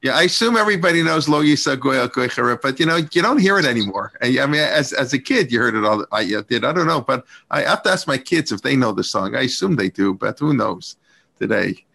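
A man with a gravelly voice hosts a class discussion. He talks in a loose, conversational way full of fillers and asides. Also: mid-range voice, animated, fast talker, sharp tone. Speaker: host of a class series.

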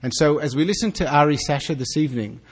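A man with an Australian accent giving an earnest, halting welcome speech to an evening audience, often restarting his words. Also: fairly high pitch, slightly rough. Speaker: consul general giving opening remarks.

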